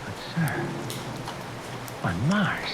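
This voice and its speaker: A man's voice: medium pitch, sing-song, very slow. An actor playing an earnest, hesitant young space lieutenant, pleading his case in an old radio drama. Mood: awed, reasoning. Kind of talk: 1950s radio drama acting. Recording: hissy vintage radio with rain noise.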